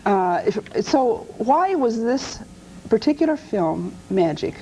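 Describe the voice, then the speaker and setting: A woman's voice: a little high, sing-song, slow pace, dark tone, a little hiss or hum, poor audio. A woman hosting a TV talk show, asking her guest interested questions. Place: TV studio.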